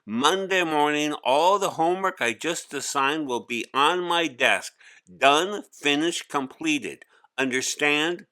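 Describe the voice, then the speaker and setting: A man's voice: a little high, slow pace, bright, forceful tone, pitched above the performer's own voice. A man voicing a tall, stern schoolteacher in a storybook, solemn, clipped and exasperated. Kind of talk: storybook character voice.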